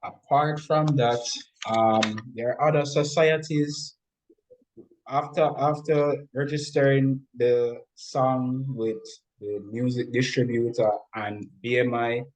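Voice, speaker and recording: A man's voice: mid-range voice, low-quality recording. A man talking informally as an interview guest, explaining his points step by step and often repeating and rephrasing them.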